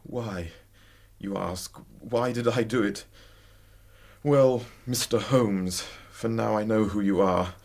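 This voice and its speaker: An actor playing an ashamed, honourable young male student with an English accent, halting and subdued, in a radio drama. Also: lowish voice, darkish tone. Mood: anguished, ashamed. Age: young adult.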